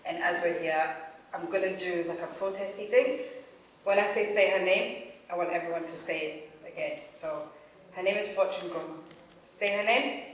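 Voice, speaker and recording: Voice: medium pitch, steady tone, moderate pace, fairly bright tone. An organiser giving a solemn, earnest speech to a gathered group. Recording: echoey room from far off.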